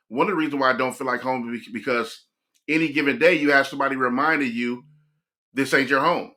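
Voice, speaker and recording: American man, reaction host, bright tone, rambling reflective talk. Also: mid-range voice. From United States. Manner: reflective, rambling, addresses viewers directly. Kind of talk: casual reaction commentary.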